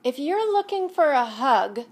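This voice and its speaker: Woman doing a cranky storybook cactus, loud, high, short-tempered. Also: big pitch swings, measured pace, heavily acted. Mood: grumpy. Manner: shouting, gruff. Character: grumpy prickly male cactus who yells. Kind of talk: children's storybook read-aloud. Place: home, by a fireplace.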